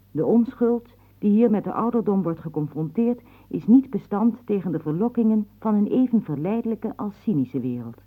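A woman announcer formally reading a scripted literary introduction to a radio play in measured phrases. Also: medium pitch. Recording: narrow, tinny band like old radio.

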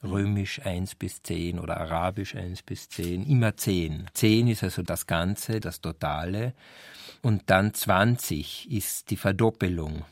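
A man's voice: low-pitched, unhurried, creaky, no echo. A man speaking as a literary scholar, explaining and enumerating point by point. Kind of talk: explanatory talk on a novel's history.

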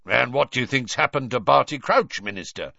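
A man voicing a gruff, impatient older man with a growling voice in an audiobook. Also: medium pitch, animated, a little fast, pushed and bright, dry recording, highs cut off, voice pushed up, full character voice. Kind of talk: audiobook character acting.

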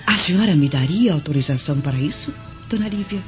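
A woman's voice: low-pitched, expressive, quick pace. An actress in a radio drama playing a devoted, earnest servant woman who speaks hesitantly and respectfully. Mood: pleading. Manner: hesitant, deferential. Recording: dull lo-fi audio under music.

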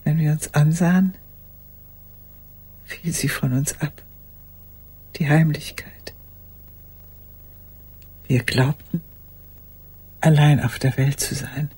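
An actress playing an old woman in a radio play monologue, courteous and haunted, recalling the past in a halting, breathy, raspy voice. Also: low voice, fairly even intonation, dark tone, heavily acted. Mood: tender, haunted. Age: older. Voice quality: husky, raspy, breathy, often dropping to a whisper. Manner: deliberate, reflective, halting.

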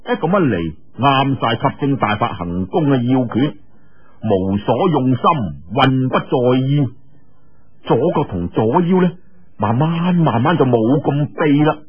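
A man with a Cantonese accent telling a story in an animated, colloquial audiobook style. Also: mid-range voice, slightly rough, dull audio.

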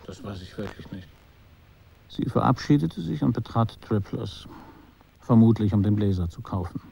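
An actor narrating a German radio drama as a detached, quietly observant storyteller, measured and understated. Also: male voice, lowish voice, lively intonation, gravelly, lo-fi sound, slight background noise.

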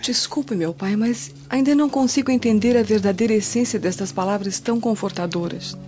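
An actress playing a dutiful Roman daughter in a Brazilian radio drama, earnest and deferential. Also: female voice, medium pitch, a little fast, warm tone, lightly acted. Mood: respectful puzzlement. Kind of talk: dramatic radio play acting. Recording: dull, muddy radio drama with music.

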